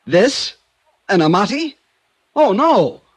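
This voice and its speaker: A fussy, excitable insurance man frets in a raised, swooping voice. It is vintage radio drama, with a dry, close sound. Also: sits high, slow, no room sound, heavily acted. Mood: alarmed. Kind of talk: 1950s radio drama acting.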